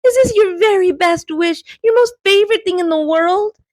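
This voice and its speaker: A woman's voice: extremely high pitch, moderate pace, darkish tone, no room sound, pitched above the performer's own voice. A woman reading aloud and voicing a timid female wish-granting rock spirit that frets, quavers and frightens easily. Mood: nervous.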